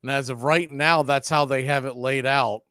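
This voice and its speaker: A man calling baseball play-by-play for a fan radio stream, mixing pitch calls with blunt opinionated asides. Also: steady tone, moderate pace, sharp tone, smooth, no echo, studio quality.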